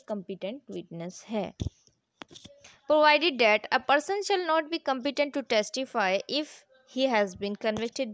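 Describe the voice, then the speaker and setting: Slow, higher-pitched woman giving a lesson. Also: no room sound. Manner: didactic, repeats and rephrases key points. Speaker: law lecturer. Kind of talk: explanatory lesson, reading statute articles aloud and explaining them.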